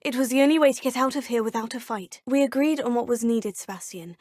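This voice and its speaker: A woman voicing a poised, curious young witch student, speaking in polite, formal, measured game dialogue with a husky tone. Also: fairly high pitch, darkish tone, dry recording, studio quality, close to an everyday voice. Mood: composed. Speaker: player character. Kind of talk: video game voice lines.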